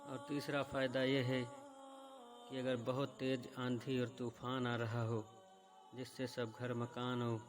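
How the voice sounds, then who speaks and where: Male religious explainer host, medium pitch, narrow intonation, earnest direct address. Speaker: presenter of religious videos.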